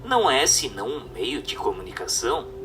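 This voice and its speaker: A male narrator reads scripted text for a radio drama with emphatic, declamatory delivery. His mid-pitched voice moves widely up and down. It is a little distant from the microphone, with music playing clearly behind him. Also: wildly swooping pitch, normal speed.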